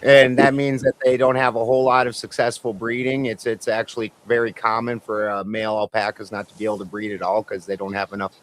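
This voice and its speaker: A man's voice: fairly low pitch, flat delivery, fast, hardly any pauses, poor audio. A man on a livestream panel, talking in a rambling, crude and self-mocking way. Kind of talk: casual banter.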